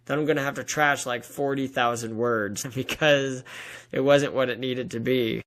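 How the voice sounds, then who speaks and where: A man in his thirties chatting casually as an interview guest, acting out bits of imagined dialogue in an animated, informal way. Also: medium pitch, normal speed. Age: 30s. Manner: animated storytelling, acts out imagined dialogue, frequent "like".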